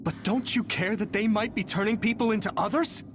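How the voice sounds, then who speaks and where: An actor voicing an earnest, worried young male squad leader in an anime video game, with loud music behind him. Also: high-pitched, a little fast, background noise, close to an everyday voice. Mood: urgent, pleading.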